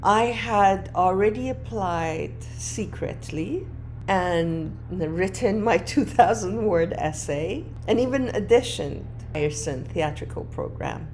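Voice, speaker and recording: A woman podcast host, not a native English speaker, telling her own story in a reflective, earnest way, moving between emphatic lines and hushed ones. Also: medium pitch, lively intonation, takes it slowly, soft background music. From moved to Canada as a child, not a native English speaker. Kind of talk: personal storytelling monologue.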